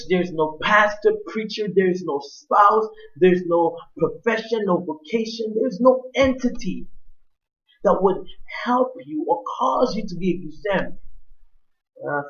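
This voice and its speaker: A man's voice: high-pitched, dull audio. A man preaching a sermon in an emphatic, oratorical way, with ornate wording and rhetorical repetition.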